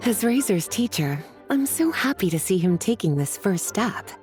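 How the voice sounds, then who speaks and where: A woman voicing a kind mature teacher who speaks softly and patiently. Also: mid-range voice, very animated, normal speed, soft background music, natural voice. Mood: caring. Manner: soft, patient, caring. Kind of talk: game voice acting.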